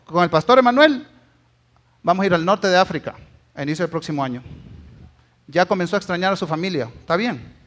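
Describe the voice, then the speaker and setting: A man's voice: sits high, lively intonation, brisk, pauses often, a bit bright. A man with a Guatemalan accent preaches as a pastor, emphatic and exhortative, often checking in with short tag questions. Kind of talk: sermon.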